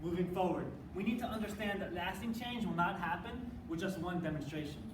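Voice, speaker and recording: A woman's voice: on the low side, a little fast. A young adult woman performing a rehearsed, dramatic readers theater piece as part of a student team. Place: indoor room, live stage performance. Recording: echoey room from far off, noisy.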